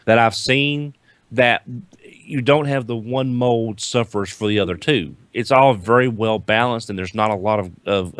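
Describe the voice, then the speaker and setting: A man talking casually as a podcast panelist about toys, often restarting his sentences in a halting way. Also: mid-range voice, a bit bright, dry recording. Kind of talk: casual group chat reviewing toys.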